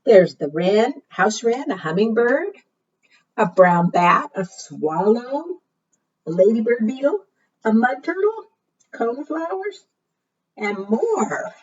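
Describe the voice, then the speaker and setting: Female storytime librarian, lively intonation, teacherly read-aloud for preschoolers, heard dully from a distance. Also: fairly high pitch, husky. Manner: asks the young listeners frequent simple questions; expressive, deliberate teacherly delivery. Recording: muffled, distant microphone.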